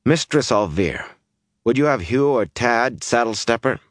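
Narrator as a stubborn young village guy, gruff and battered, fantasy audiobook, dry sound. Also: medium pitch, expressive, a little slow, no echo, voice pushed up, close to an everyday voice. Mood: insistent.

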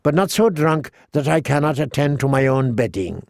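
A man's voice: medium pitch, animated, moderate pace, gravelly, a little mellow, no room sound. A man voicing a witty, bitter adult dwarf lord who speaks in a dry, mocking way.

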